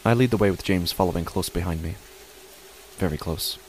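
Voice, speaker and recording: A man playing an uneasy father who narrates his own horror story in a deliberate, measured way with dramatic pauses. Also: sits low, darkish tone, slight background noise, natural voice. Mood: grave, uneasy. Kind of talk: scripted first-person horror narration.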